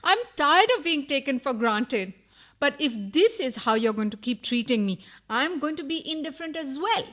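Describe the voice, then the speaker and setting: A woman's voice: sits high, expressive, normal speed, a bit bright. A woman acting a needy, nagging burglar's wife in a radio farce, reproachful and wheedling in a melodramatic way. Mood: wounded, reproachful. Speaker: radio play actor. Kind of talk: farcical radio play acting.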